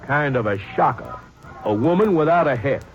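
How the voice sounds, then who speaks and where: An actor playing a smooth-talking, evasive male sideshow showman with grandiloquent speech, in an old-time radio drama. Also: mid-range voice, expressive, normal speed, dull audio, soft background music, close to an everyday voice. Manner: grandiloquent, with a hesitant uh.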